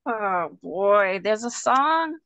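A woman's voice: mid-range voice, expressive, very slow, a bit bright, dry recording, exaggerated voice. Woman reading wistful woman in love, dry sound. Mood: wry. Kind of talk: screenplay table reading. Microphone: video call.

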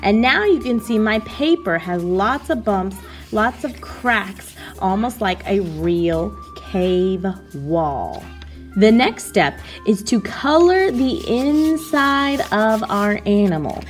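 A woman art teacher giving a slow, patient, step-by-step lesson to children over a video of her hands at work. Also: medium pitch, animated, soft background music.

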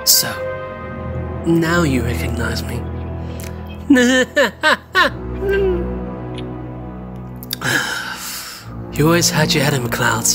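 An actor playing a mad invisible scientist in a horror audio drama, gloating, taunting and grandiose. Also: male voice, on the high side. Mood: mocking. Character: mad invisible male scientist turned gloating, menacing predator. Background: music.

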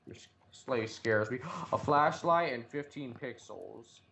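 A man's voice: mid-range voice, slow, gravelly, bright, forceful tone. A man playing a video game, chatting casually in slang and swearing, blurting out reactions to what he finds. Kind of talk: casual co-op gameplay chat. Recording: muffled lo-fi mic, background noise.

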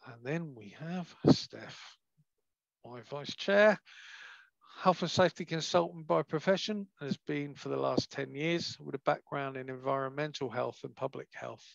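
Gravelly man hosting an online meeting. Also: fairly high pitch, moderate pace, dry recording. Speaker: branch chair and meeting host. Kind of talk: reading speaker introductions. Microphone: video call. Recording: dull, dry video call sound.

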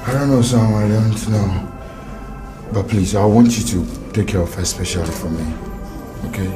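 An actor playing a young doctor in a film drama, accusing and shouting in heated argument. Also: male voice, fairly low pitch, normal speed, lightly acted. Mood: worried. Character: angry young male doctor. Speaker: doctor character. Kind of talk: Nollywood film acting. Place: indoor room, film set. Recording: film soundtrack with loud music.

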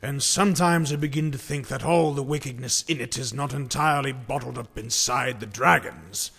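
Man acting a gravelly, grandiloquent knight. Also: medium pitch, normal speed, fairly bright tone. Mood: troubled, reasoning. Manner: formal, theatrical, archaic phrasing. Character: chivalrous, earnest knightly saint, courteous and grandiloquent. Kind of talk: amateur audiobook drama acting. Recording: long hall reverb, faint music.

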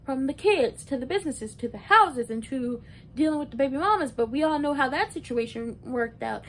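A woman's voice: lively intonation, fairly quick, fairly bright tone. A woman in her early twenties giving casual, opinionated and emphatic recap commentary, talking conversationally like a video blogger. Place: small bedroom, indoors. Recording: noisy room with low rumble.

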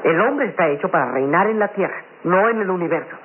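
A young boy companion in an old radio adventure. The voice is high, earnest and curious, respectful toward his master. The recording is thin and tinny with faint noise. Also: expressive, fast talker. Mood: relieved. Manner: earnest, questioning. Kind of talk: vintage radio drama acting.

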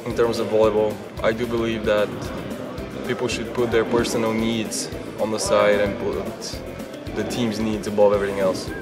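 A man's voice: lowish voice, little pitch movement, normal speed, distant mic. Bulgarian-accented young man, echoey room. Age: 20s. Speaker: volleyball player. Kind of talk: casual interview. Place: beach outdoors and trophy room indoors. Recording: very echoey sound with music behind.

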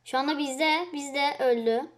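Kid with a high voice, casual chat while gaming with someone. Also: fairly even intonation, unhurried. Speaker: gamer, co-player. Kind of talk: casual chat during gameplay.

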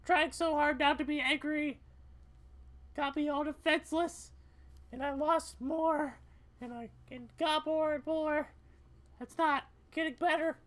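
A woman voicing a scared, angry, defiant young female cat in a video game, halting and earnest. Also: high voice. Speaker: gaming video player voicing the characters.

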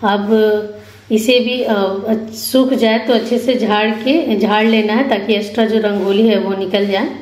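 A woman giving an instructional craft voice-over as an artist, explaining simply step by step with pauses. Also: medium pitch, steady tone, darkish tone. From India. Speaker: rangoli artist giving a demonstration. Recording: echoey room, faint background noise.

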